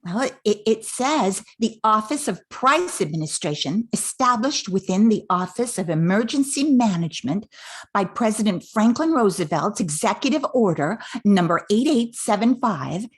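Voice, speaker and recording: A woman playing a smart, kind teenage daughter in an amateur 1940s radio play, earnest and explanatory with a husky voice. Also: mid-range voice, expressive, moderate pace. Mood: earnest, reasoning.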